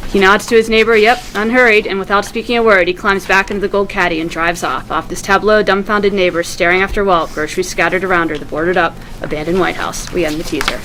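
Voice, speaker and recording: A woman narrates screenplay action at a table read. Her mid-pitched voice tells the scene vividly, as scripted stage directions. There is some faint noise on the recording. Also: fairly even intonation, quick pace, hardly any pauses, a bit bright, poor audio.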